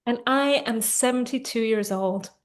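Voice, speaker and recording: Woman with a high-pitched, gentle voice. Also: unhurried. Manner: gentle, instructive, many fillers. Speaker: therapist and coach. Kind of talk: guided tapping session.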